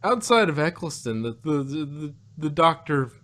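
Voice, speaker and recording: A man hosting a casual review chat, halting and rephrasing as he thinks out loud. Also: a little high, sing-song. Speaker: audio drama review podcast host.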